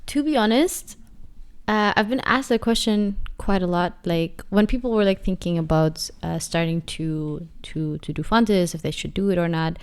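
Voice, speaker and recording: Soft-spoken young woman, thoughtful. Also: on the low side, normal speed, clear, resonant tone. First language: not English. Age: 20s. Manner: reflective, many fillers and restarts. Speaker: dancer and guest. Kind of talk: casual interview.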